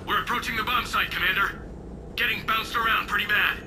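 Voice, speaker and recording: High, urgent young turian officer, alien filter, noisy battlefield behind. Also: male voice, steady tone, brisk. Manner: urgent, clipped military. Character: young earnest turian male lieutenant.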